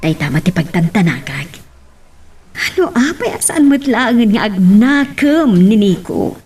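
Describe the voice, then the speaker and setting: A woman's voice: a little high, sing-song, a little slow, darkish tone, slight background noise. A woman playing a fussing, scolding middle-aged mother in an Ilocano radio drama. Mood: worried.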